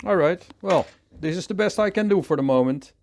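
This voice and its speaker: A man with a Dutch accent, calmly thinking aloud as he works through a hands-on repair tutorial. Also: high voice, a little fast, a little hiss or hum. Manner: calm, thinking aloud while working. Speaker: repair technician.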